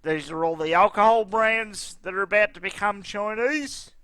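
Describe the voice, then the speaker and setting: A man's voice: high voice, normal speed, bright, forceful tone, smooth, no room sound, studio quality. A man hosting a video, giving scornful, indignant commentary. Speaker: video host. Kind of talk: opinionated commentary.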